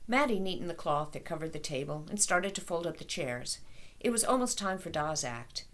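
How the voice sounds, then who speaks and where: A woman author reading a children's story aloud in an expressive storytelling style. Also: deep voice, normal speed.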